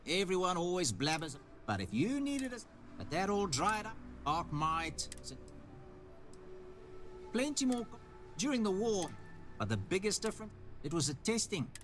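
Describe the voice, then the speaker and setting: An actor playing a plain-spoken, wistful shopkeeper in a space colony video game, with a folksy, frank way of talking. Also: male voice, high voice, very animated, moderate pace, a bit bright, soft background music. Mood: nostalgic. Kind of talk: video game character dialogue.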